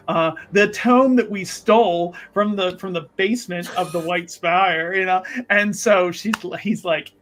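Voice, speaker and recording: A man's voice: high-pitched, fairly bright tone. A man casually narrating a tabletop roleplaying game, speaking emphatically and repeating phrases to drive them home.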